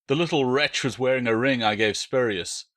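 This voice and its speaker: A man's voice: medium pitch, moderate pace, fairly bright tone, lightly acted. A man voicing a big, cruel, vengeful Roman soldier, hot-tempered, accusing and sneering in an audiobook. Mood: sneering accusation.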